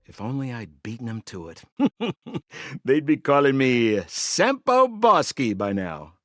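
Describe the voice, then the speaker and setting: A man playing a smooth-talking, boastful young swindler guide in a video game, with a theatrical, wheedling salesman's manner. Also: medium pitch, big pitch swings, takes it slowly, no echo, studio quality. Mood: breezy explaining.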